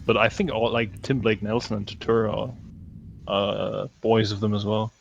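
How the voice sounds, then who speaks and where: A man's voice: on the low side, flat delivery, moderate pace, creaky, lo-fi sound, a little hiss or hum. A man co-hosting a film podcast, chatting casually and giving hedged, understated opinions. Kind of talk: casual chat reviewing films.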